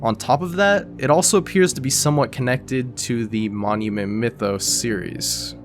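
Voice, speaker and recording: A man's voice: medium pitch, moderate pace, over music. A man narrating an explainer video in a casual, conversational, scripted style. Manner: conversational, informal.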